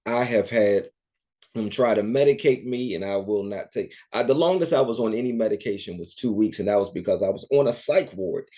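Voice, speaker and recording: A man's voice: on the low side, lively intonation, fairly quick. A man speaking as a podcast guest, an entertainer and author, talking earnestly and reflectively in a casual interview. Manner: earnest and reflective, with soft laughs. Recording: dull lo-fi audio.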